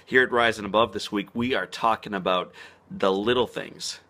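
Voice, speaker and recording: A man speaking as a motivational speaker in an inspirational vlog. He talks earnestly and slows down to stress key words. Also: on the low side, steady tone, bright, forceful tone. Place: outdoors on a spring morning.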